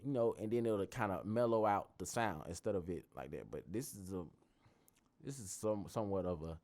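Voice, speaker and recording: A young man with a very low, bassy voice, hosting a relaxed tutorial. His delivery is loose and rambling, looping back and restarting phrases as he works things out aloud. He sounds conversational rather than scripted. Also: fairly quick. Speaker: tutorial host. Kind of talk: casual screen-recorded tutorial.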